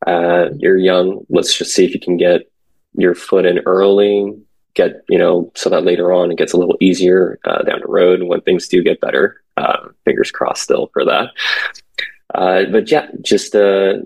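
Podcast guest: young man, very low husky voice, relaxed and halting, lots of ums. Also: poor audio. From born in Korea, raised in San Francisco Bay Area. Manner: hesitant, many fillers, relaxed. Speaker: guest, commercial real estate associate. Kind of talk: casual podcast conversation.

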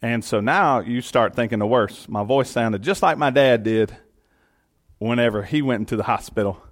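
A man's voice: mid-range voice, normal speed. Earnest Georgia man telling his story. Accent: Southern US, Georgia. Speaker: county commission chairman. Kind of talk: personal testimony to an audience.

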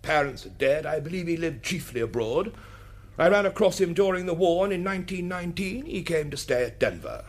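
A proud, flustered English duke giving testimony in a radio play. His upper-class English voice sits a little high and swings widely in pitch. The delivery is clipped and hesitant, with a husky edge. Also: expressive, fairly quick. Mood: guarded, formal. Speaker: witness at an inquest. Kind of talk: radio drama acting.

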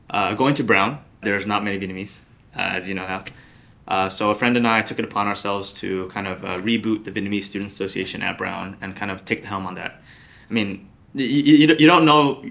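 A man's voice: lowish voice, brisk, gravelly, bright, forceful tone. A young man from the United States, a podcast guest, answering thoughtfully with many fillers and restarts. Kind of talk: podcast interview.

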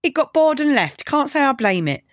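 A woman voicing a brash, sarcastic young punk woman in audiobook dialogue, deadpan and taunting. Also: high-pitched, normal speed, no room sound, clean studio sound, voice pushed up. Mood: smug.

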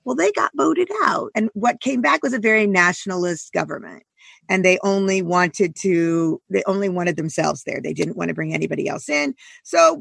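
A woman in her forties with a gravelly, husky voice talks about a book with animated asides. Her voice sits in a middle range. The sound is dry and close. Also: mid-range voice, dry recording. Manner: animated, quick asides and laughs. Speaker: book podcast co-host. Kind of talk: casual book discussion.

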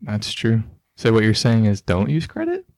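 A teenage young man from Illinois plays the curious, teasing co-host, tossing out questions. His voice sits fairly low for his age. The recording is dry and close. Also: wildly swooping pitch, normal speed, gravelly, darkish tone, no echo. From Illinois, USA. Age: teens. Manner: playful, teasing, asks leading questions. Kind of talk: casual chat asking questions.

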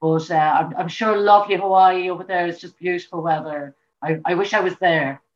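A woman's voice: fairly low pitch, steady tone, brisk, dull audio. An Irish woman, a musician guest, chatting casually in an interview over a remote call, rambling with many repeats and fillers. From Ireland.

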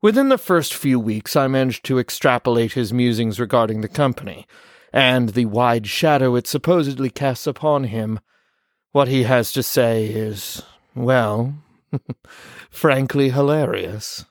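A man's voice: mid-range voice, a little slow, dry recording, natural voice. A sardonic doctor acted by a man dictates patient notes for an audio drama. The delivery is formal and wordy, in a medium register with a very dry, close sound. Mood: dry, wry.

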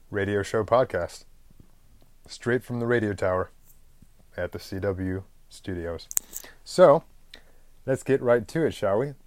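A man hosting his own podcast, talking off the cuff in a loose, rambling way, often pausing on "um" and clearing his throat. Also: lowish voice, darkish tone, no echo. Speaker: podcast host. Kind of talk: unscripted solo podcast monologue.

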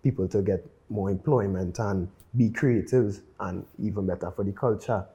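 A man's voice: fairly low pitch, normal speed, warm tone. A man with a Trinidadian accent, talking casually and conversationally as a guest music producer in an interview.

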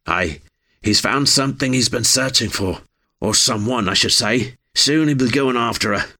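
A male narrator voicing a rough-spoken, terse man with dry, folksy speech. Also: medium pitch, moderate pace, bright, forceful tone. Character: gruff rough-spoken man, laconic scout.

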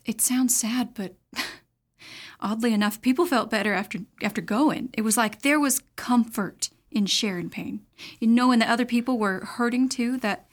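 A woman playing a soft-spoken grieving mother. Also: on the high side, moderate pace, lightly acted. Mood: reflective. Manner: soft, hesitant, sorrowful. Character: grieving inmate mother of a dead son. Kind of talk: audio drama acting.

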